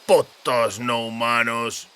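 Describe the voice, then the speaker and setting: A man's voice: medium pitch, fairly even intonation, takes it slowly, sharp tone, clear, resonant tone. A man voicing a bitter, coarse ruffian with a throaty, gargling voice and spiteful manner.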